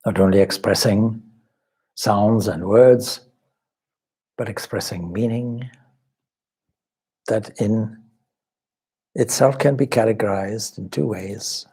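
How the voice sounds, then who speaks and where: A man with a low, husky voice guides a meditation. He speaks very slowly and contemplatively, leaving long pauses between short phrases as a spiritual teacher does. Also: dark tone. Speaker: swami leading guided meditation.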